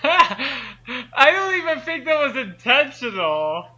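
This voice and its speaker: A man's voice: extremely high pitch, big pitch swings, takes it slowly, bright, forceful tone. A man co-hosting a casual group reading, chatting and adding commentary on a home microphone. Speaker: co-host of a group fan-fiction reading. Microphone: home mic, drifting away from it. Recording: dull lo-fi microphone sound.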